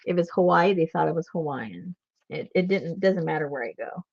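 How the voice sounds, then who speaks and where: A woman's voice: deep voice, expressive, normal speed, gravelly, slight background noise. A woman from Rhode Island chatting casually while she crafts, laughing often and telling stories. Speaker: craft livestream host. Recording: dull lo-fi sound.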